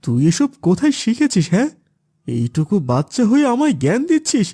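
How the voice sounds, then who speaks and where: A man's voice: high-pitched, wildly swooping pitch, a little fast, dark tone, breathy edge, heavily acted. Man voicing a stern, lecturing cartoon family man. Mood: gruff, irritated. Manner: lecturing, admonishing. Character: stern preachy father, later gruff scolding gambler uncle. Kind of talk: Islamic children's cartoon dubbing.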